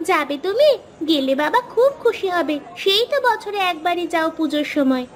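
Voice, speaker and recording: A woman's voice: extremely high pitch, animated, moderate pace. A woman voicing a confident, chatty eleven-year-old girl in a cartoon story, speaking in an eager, insistent manner. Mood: chatty, pert. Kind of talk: animated cartoon storytelling dialogue. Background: background music.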